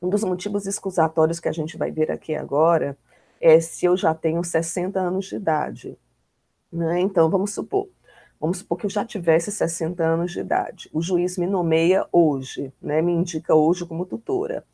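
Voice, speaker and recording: A woman's voice: fairly low pitch, normal speed, vocal fry, dark tone, slightly rough, no room sound. A Brazilian woman giving an informal law lecture, explaining in a didactic, conversational way and often checking in with short tag questions.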